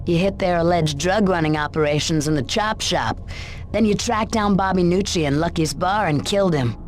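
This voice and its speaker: An actress playing a cool, probing interrogator in a video game, talking in a dry, measured, sardonic way. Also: female voice, on the low side, close to an everyday voice. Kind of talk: game cutscene dialogue. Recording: clear background ambience noise.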